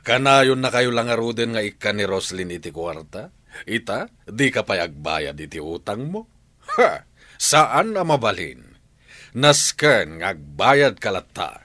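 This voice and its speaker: Mocking stubborn moneylender in radio comedy, swoopy pitch, close dry sound. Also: male voice, big pitch swings, unhurried, sharp tone, dry recording, full character voice. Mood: stern, insistent. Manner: insistent, scornful. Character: stern, mocking, unbending village moneylender man. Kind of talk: radio comedy drama acting.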